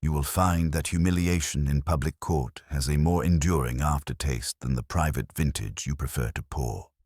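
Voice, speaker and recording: A man voicing an imperious, erudite older detective in an audiobook, unhurried and pompous, with ornate, commanding phrasing. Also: extremely low pitch, a little mellow, husky, no echo, studio quality. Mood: stern, commanding. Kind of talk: audiobook detective story dialogue.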